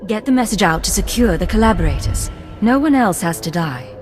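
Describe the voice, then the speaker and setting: A composed female imperial spy, voiced by a woman speaks in an even, mid-pitched voice. Her delivery stays dry and cool. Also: a little fast, faint music behind, close to an everyday voice.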